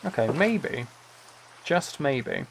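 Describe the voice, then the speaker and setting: Male streamer, creaky mid-pitched voice, soft-spoken relaxed gaming chat, faint rain-like noise behind. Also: drawn-out words, rough recording. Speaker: livestreamer. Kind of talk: relaxed chat while playing a building game.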